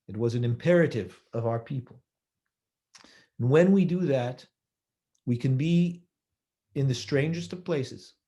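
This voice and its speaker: Male speaker, mellow voice, measured sermon delivery with rhetorical questions. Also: medium pitch. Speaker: rabbi giving a holiday talk.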